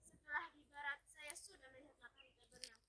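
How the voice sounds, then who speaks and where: A woman's voice: high-pitched, fast talker, natural voice. A teenage girl playing an eager, respectful young crown prince in an amateur folktale drama, with formal, deferential speech. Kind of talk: amateur school storytelling drama. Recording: loud noise around the voice.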